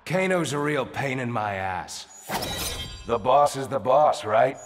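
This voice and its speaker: An actor playing an irreverent, defiant tough fighter in video game banter, blunt and mocking. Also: male voice, mid-range voice, expressive, slow. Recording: reverberant hall, loud music.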